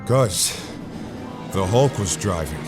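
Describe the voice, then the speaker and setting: A calm, level-headed soldier hero and team leader, played by a man. His voice sits low and steady over a music score. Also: takes it slowly. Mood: firm. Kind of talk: fan audio drama acting.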